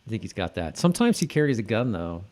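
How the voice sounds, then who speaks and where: A man co-hosting a podcast, chatting casually in a halting, repetitive way as if thinking aloud. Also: lowish voice, very animated, darkish tone. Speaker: podcast co-host. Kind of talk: casual chat about a TV episode.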